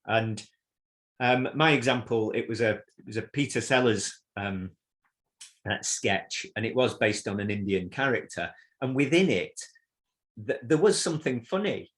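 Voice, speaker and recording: A man in his fifties from south Manchester who speaks in a measured, reflective way as a podcast co-host, with hesitant ums. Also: mid-range voice, animated, a bit bright. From south Manchester, England. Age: 50s. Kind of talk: casual chat.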